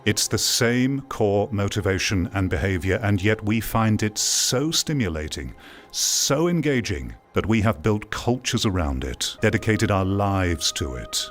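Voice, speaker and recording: A man giving opinion commentary on VR gaming in an emphatic, deliberate way, repeating phrases for weight. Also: fairly low pitch, animated. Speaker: VR gaming video creator. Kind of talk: opinion commentary over gameplay. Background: music.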